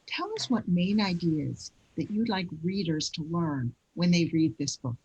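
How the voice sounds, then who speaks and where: A woman's voice: fairly low pitch, animated, unhurried, darkish tone, lo-fi sound, a little hiss or hum. A woman interviewer asking prepared questions in the manner of a host.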